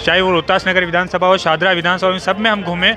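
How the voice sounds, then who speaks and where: A male reporter asking polite, slow probing questions in a street interview. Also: sits high, little pitch movement.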